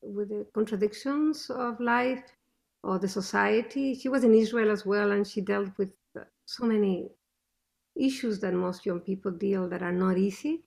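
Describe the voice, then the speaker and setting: A woman with a thick non-native accent and a gravelly, creaky voice, speaking in an interview. She tells family stories in an animated, emphatic way. Her pitch sits in a middle range for a woman. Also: moderate pace. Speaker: interview guest, mother. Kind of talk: conversational interview.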